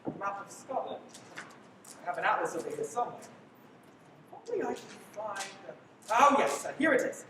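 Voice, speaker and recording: A young woman playing a skeptical gentleman drawn into intrigue in a live radio play, wry and theatrical. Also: mid-range voice, big pitch swings, moderate pace, pauses often, close to an everyday voice. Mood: casual, obliging. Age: young adult. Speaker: high school drama student acting. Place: live school performance, voice through a mask. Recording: echoey hall from far off, noisy livestream.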